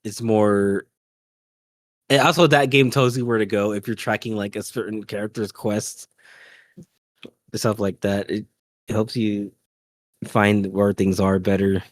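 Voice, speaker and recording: A man's voice: on the low side, steady tone, measured pace, pauses often, no room sound. A man chatting informally as a podcast co-host about games, griping in an offhand, conversational way. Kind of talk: casual chat about video games.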